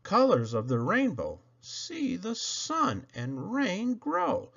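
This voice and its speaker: Very animated man reading children's poetry. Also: high voice, vocal fry, highs cut off. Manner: theatrical, playful emphasis and dramatic pauses. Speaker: storybook reader. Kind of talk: expressive children's poetry read-aloud.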